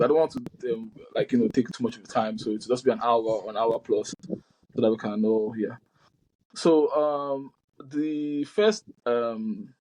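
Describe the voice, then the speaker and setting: A man hosts a relaxed podcast conversation over a video call, his voice mellow and darkly toned. He talks loosely, with plenty of ums and restarts. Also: medium pitch, rough recording. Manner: loose and hesitant, many fillers and restarts. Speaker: podcast host.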